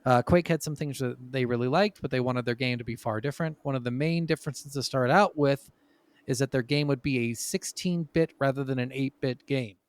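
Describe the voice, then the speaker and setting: A man's voice: medium pitch, brisk, clean tone, no room sound. A man hosting a podcast, talking casually and emphatically from his notes, with plenty of swearing. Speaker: podcast host.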